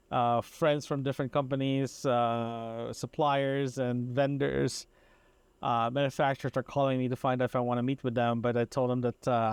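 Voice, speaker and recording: A man's voice: medium pitch, clear, resonant tone. Guy doing a casual rambly podcast diary, stop and start talking, repeats himself, dry sound no room echo. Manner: halting, repetitive, self-interrupting. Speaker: podcast host.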